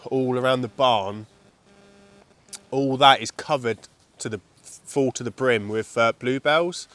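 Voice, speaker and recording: Croaky-voiced man vlogging outdoors. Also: medium pitch, no echo. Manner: rambling, relaxed, many ums and kind ofs. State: croaky voice from the flu. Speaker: landscape photography vlogger. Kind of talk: casual vlog talk to camera. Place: outdoors on open moorland. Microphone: camera held at arm's length.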